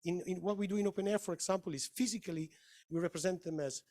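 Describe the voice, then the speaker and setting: Man, fairly high voice, conference presenter who explains points with insistence. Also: fast, darkish tone. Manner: emphatic, explaining, checks in with "okay?". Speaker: panel presenter. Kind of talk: conference Q&A answer.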